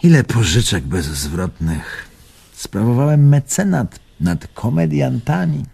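Radio drama: wounded, evasive old man-about-town, musing and theatrical, husky, very expressive intonation. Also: medium pitch, takes it slowly, heavily acted. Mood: bitter. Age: older. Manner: theatrical, musing, swinging between murmur and outburst. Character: bitter old bon vivant, wounded, evasive former impresario.

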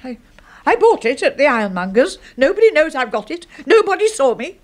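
An actress with an English accent playing an obsessive, murderous secretary in a 1970s radio horror drama, intense and easily flustered. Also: female voice, high-pitched, sing-song, brisk, a little mellow. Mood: nervous, pleading. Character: obsessive, murderous spinster secretary, timid before her boss.

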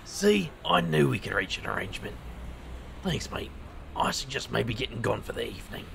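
A man acting as a reckless street magician with a very creaky, gravelly voice. He narrates his urban fantasy audio drama with sardonic wit. There is clear noise behind him. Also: medium pitch, big pitch swings, normal speed, a bit bright, exaggerated voice. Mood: wry, bold. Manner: wry, sardonic. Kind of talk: first-person audio drama narration and dialogue.

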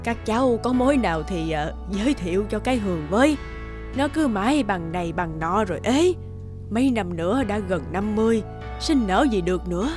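A woman's voice: mid-range voice, expressive, fairly quick, lightly acted. A woman voicing a fretful, nagging older mother in a cartoon. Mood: fretful, complaining. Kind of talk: cartoon voice acting. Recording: music behind the voice.

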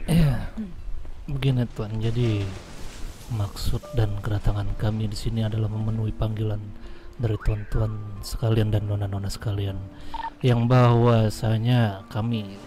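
A man's voice: fairly low pitch, little pitch movement, a little slow. A man roleplaying in an online game, speaking formally and deferentially, with some hesitation as he negotiates. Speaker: roleplay gamer playing a gang leader. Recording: music behind the voice. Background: music.

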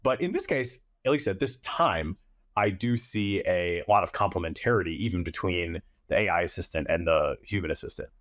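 A man's voice: deep voice, expressive, normal speed, a bit bright, dry recording, clean studio sound. A man, asking long conversational questions as an interview host. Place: indoors on a video call.

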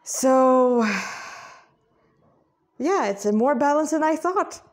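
A woman's voice: high voice, expressive, slow pace, a little mellow. A woman reading their fan fiction aloud as the author, with chatty asides, often stumbling and rereading phrases. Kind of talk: informal read-aloud narration with chatty asides.

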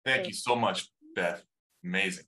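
A man's voice: low voice, moderate pace, bright, forceful tone. A man hosting an informal panel discussion as its moderator, speaking over a video call.

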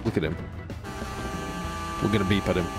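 A man giving excited, playful gaming commentary in a theatrical way, often exclaiming and repeating his phrases. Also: low-pitched, moderate pace, over music. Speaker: gamer and video host.

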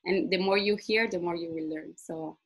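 A woman's voice: on the low side, steady tone, moderate pace, husky. Italian-native woman answering webinar questions. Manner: laughs often, fluent non-native phrasing. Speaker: community manager. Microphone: video call.

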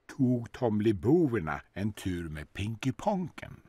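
A man in his sixties narrating a children's bedtime story in a soft, lulling, sing-song way, over gentle music. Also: on the low side, sing-song, breathy edge, dry recording. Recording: studio narration with music behind.

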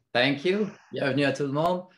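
A man's voice: on the high side, normal speed, fairly bright tone. A French man in his thirties, a native French speaker, speaking upbeat and casually on a webinar video call from an office. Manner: upbeat, laughing, casual with fillers. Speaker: insurance company founder, panel guest. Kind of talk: webinar panel talk. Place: indoor office. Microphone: computer mic on video call.

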